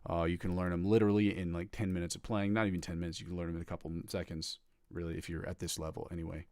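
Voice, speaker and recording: A man's voice: flat delivery, rapid-fire, a little mellow, no echo, studio quality. A congested man live streaming casually as a guitar streamer, rambling with lots of fillers. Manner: rambling, filler-heavy, upbeat pitch to viewers. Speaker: guitar livestreamer.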